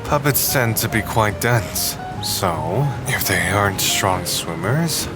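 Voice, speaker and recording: An actor playing a quiet old wooden puppet lumberjack with a dry, solemn, understated manner in an audio drama. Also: low voice, expressive, slow, loud music behind the voice. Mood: dry, reserved.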